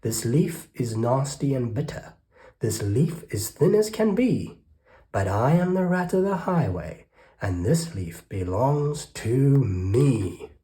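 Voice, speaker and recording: A storyteller voicing a greedy, bullying male highway rat who bellows and boasts in a children's storybook. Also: big pitch swings, slow pace, warm tone. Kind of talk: storybook read-aloud.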